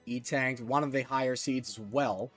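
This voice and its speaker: A man giving solo live commentary on a fighting game tournament, excitable and jumping to high shouts at big moments, his voice a little worn, from a small closet. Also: moderate pace, faint music behind. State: losing his voice. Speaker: esports commentator.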